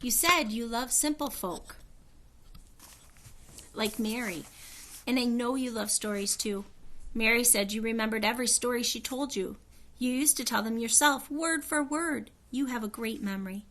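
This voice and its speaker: A woman reading a children's story aloud, in an expressive narrating style. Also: on the high side, moderate pace. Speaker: storybook reader.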